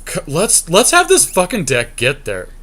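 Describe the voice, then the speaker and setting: A man's voice: a little high, huge pitch swings, normal speed, fairly bright tone. Casual male gaming podcast co-host. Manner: informal, profane. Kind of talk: casual card game draft commentary with a friend.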